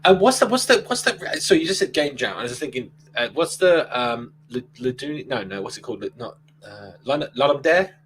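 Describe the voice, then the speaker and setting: A man's voice: mid-range voice, expressive, fairly quick, few pauses, fairly bright tone. A man hosting a live stream in a casual, chatty way. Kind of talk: casual chat. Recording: faint hum.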